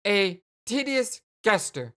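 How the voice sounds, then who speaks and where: A woman's voice: mid-range voice, sing-song. A woman voicing an exasperated, hot-tempered young woman in a dramatic dialogue, stretching her words out emphatically. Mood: bitter. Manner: emphatic, drawn-out, shouting outbursts.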